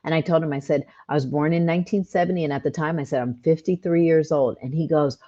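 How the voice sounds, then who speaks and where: A woman in her fifties, chatting as a guest in a relaxed interview. Her voice sits low, and she talks in a bright, upbeat way with plenty of laughter. The recording sounds a little dull and muffled. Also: fast. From California. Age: 50s. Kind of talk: casual interview.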